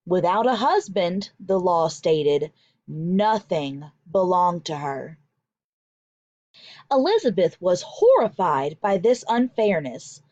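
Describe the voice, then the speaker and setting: A woman teacher reading aloud to children in an expressive, deliberate, emphatic way. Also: lowish voice, no echo, dull audio. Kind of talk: expressive children's read-aloud with vocabulary explanations.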